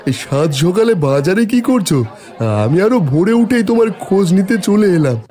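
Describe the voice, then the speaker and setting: A man's voice: high-pitched, expressive, a little fast, dark tone. A man voicing a chatty, helpful younger village friend in a cartoon, with a familiar manner. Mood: friendly. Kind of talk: cartoon voice acting.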